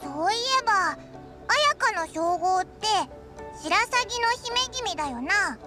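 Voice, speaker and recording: Tiny fairy sidekick, extremely high voice, swooping lively pitch, cheeky, blunt, childlike anime game style. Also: female voice, takes it slowly, over music, heavily acted. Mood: cheerful. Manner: blunt, boyish casual speech, exclamatory. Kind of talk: Japanese anime game voice acting.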